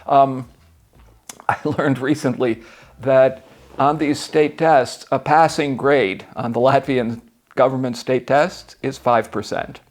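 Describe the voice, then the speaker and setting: A man's voice: mid-range voice, fairly even intonation, takes it slowly. An American man in his sixties, a journalist guest talking bluntly and emphatically in an interview. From United States. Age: 60s. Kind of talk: conversational interview.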